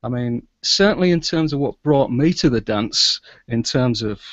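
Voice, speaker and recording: A man's voice: mid-range voice, expressive, normal speed, a bit bright, no room sound, dull audio. A middle-aged man chatting casually as an interview guest, in a chatty manner, over a video call. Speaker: guest, audio drama producer and sound engineer. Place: small indoor room with shelves, video call.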